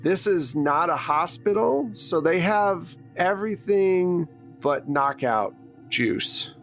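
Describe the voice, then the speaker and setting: A man's voice: high voice, lively intonation, slow pace, fairly bright tone. Guy running a tabletop rpg, talks it out off the cuff, bit of music underneath. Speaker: game master. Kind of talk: narrating a tabletop roleplaying game.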